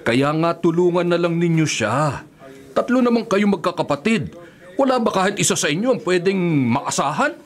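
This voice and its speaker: A man's voice: sits high, very animated, moderate pace. A Filipino man acting in a radio drama as a protective older uncle, reproachful and concerned in manner. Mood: scolding. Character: protective, scolding older uncle of grown siblings.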